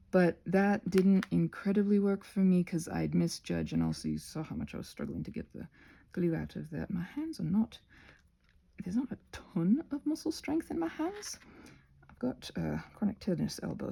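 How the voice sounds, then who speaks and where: Rambling woman with very lively, swooping pitch. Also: gravelly, breathy edge. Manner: scattered, self-interrupting, trailing off into asides. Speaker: host of a craft tutorial channel. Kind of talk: rambling, informal hands-on craft tutorial.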